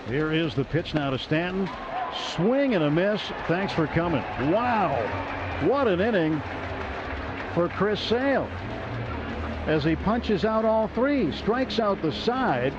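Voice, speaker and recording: Radio baseball announcer, man, high voice, brisk play calling, dull lo-fi sound with loud ballpark noise behind. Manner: brisk, excited calling of plays. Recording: lo-fi radio broadcast with crowd noise.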